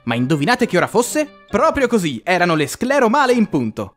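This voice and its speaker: A man narrating a comedic scripted story for a cartoon dub, in an exasperated, animated, sweary manner. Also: high-pitched, fairly quick, soft background music.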